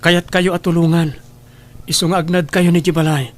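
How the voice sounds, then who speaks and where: A male actor plays an earnest grown son in an audio drama, speaking in a fairly high male voice with an Ilocano Filipino accent. He sounds emotionally invested, as one who pleads with family. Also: flat delivery, normal speed, lightly acted. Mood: earnest, reassuring. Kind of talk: Ilocano radio drama acting.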